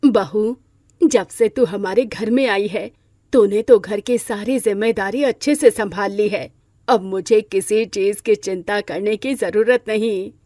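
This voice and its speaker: A woman's voice: medium pitch, unhurried, warm tone, dry recording, lightly acted. Woman acting a motherly mother-in-law, dry sound. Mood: affectionate. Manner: affectionate, motherly. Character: kindly middle-aged mother-in-law, appreciative and forgiving. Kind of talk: Hindi moral-story character acting.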